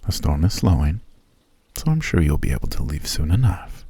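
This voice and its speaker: A man voicing a gracious elf who has lived for centuries, speaking in an ASMR roleplay. His voice is very deep, mellow and husky, and it sits dry and close to the mic. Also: very animated, normal speed, no echo, full character voice. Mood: friendly. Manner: gracious, formal and softly spoken. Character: ancient male elf host, courteous and genteel.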